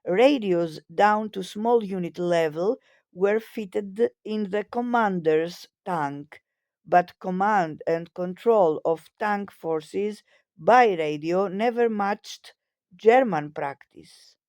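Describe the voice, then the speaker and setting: A woman narrates in a slow, measured documentary style. Her tone is soft-edged and mellow, at a middle pitch for a woman. The delivery is formal and scripted, and the sound is close and dry. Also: dry recording. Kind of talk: scripted documentary narration, reading a history text.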